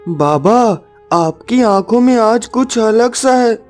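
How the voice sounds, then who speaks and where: A woman's voice: a little high, lively intonation, slow pace, darkish tone, faint music behind. A woman voicing a devoted, brave young son of a woodcutter, earnest and tender, in a cartoon story.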